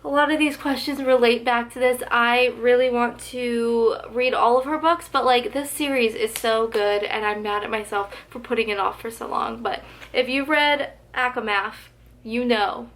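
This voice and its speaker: A fairly high-voiced young woman gushes to the camera about her favorite books, talking casually and saying "like" a lot. Her voice is a little hoarse, as if it comes and goes. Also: steady tone, normal speed, fairly bright tone. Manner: gushing, chatty, heavy use of "like". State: slightly losing her voice. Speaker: book vlogger. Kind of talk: casual book tag vlog.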